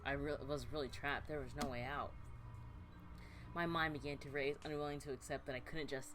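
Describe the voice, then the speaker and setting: A woman's voice: low voice, steady tone, brisk, fairly bright tone, soft background music. A woman co-hosting a let's play, switching between casual, sweary banter and theatrical reading of game text. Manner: swearing, playful, theatrical when reading aloud.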